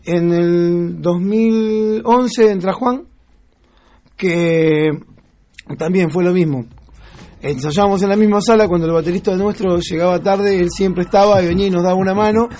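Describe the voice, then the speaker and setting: A man's voice: sits high, moderate pace, mellow. A man in his twenties with an Argentine accent, chatting casually as a musician being interviewed. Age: 20s.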